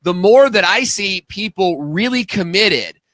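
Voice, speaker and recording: Bright-voiced man in his thirties, co-hosting. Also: high-pitched, animated, a little slow, dry recording, studio quality. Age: 30s. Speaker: co-host of a live show. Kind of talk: casual panel chat.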